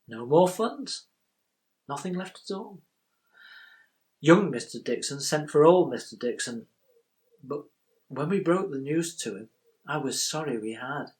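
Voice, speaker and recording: A loyal Victorian bank clerk in a period stage monologue. He tells his tale with measured gravity and tender feeling, in a dramatic storyteller's delivery. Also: on the high side, lively intonation, darkish tone. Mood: grave, urgent.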